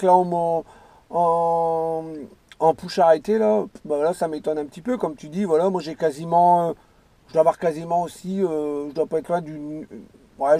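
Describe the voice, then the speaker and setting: A man's voice: on the high side, dark tone, dry recording. A man chatting casually as an amateur radio operator, rambling and repeating his phrases. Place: in or beside a parked car outdoors.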